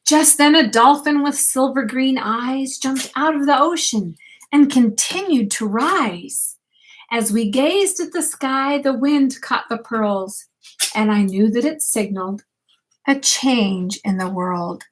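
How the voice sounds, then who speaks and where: A woman's voice: sits high, lively intonation, slow pace, poor audio. An older woman, a grandmother, reads a rhyming picture book aloud expressively and unhurriedly, speaking directly to young children. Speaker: grandmother reading a bedtime story to children.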